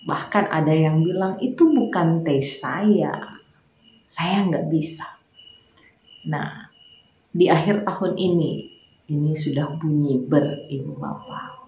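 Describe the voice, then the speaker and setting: A woman pastor with a Makassar accent leads a morning devotional in a low, unhurried voice. She moves from quiet prayer to emphatic preaching. The recording sits in an echoey room with a faint hiss behind her.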